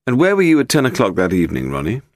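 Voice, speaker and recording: A British man voicing a polite, inquisitive gentleman detective in an audiobook. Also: medium pitch, wildly swooping pitch, racing, no room sound, clean studio sound, pitched above the performer's own voice. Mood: probing. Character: courteous, probing gentleman detective-novelist.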